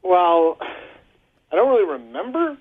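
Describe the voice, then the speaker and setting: A man's voice: high voice, big pitch swings, a little slow. Male caller on a tinny phone line. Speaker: radio phone-in guest. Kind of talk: casual storytelling. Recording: band-limited phone line on radio.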